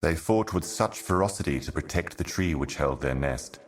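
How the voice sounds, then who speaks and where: An actor playing an ominous, ancient otherworldly herald in a video game, speaking in solemn, cryptic, deliberate monologue. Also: male voice, rumbling low, animated. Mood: bleak, mournful. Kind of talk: scripted video game voice acting, slow monologue.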